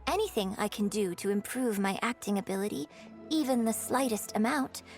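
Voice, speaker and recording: A woman voicing a poised, polite young female opera performer in a video game, measured and earnest. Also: medium pitch, darkish tone, soft background music, lightly acted. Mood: earnest, composed.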